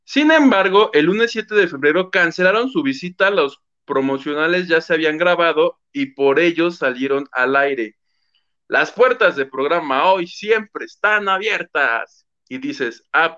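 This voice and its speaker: A man's voice: a little high, expressive, moderate pace, sharp tone, highs cut off. A man hosting a gossip show, telling the story animatedly and acting out quotes. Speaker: show host. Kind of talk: casual gossip commentary.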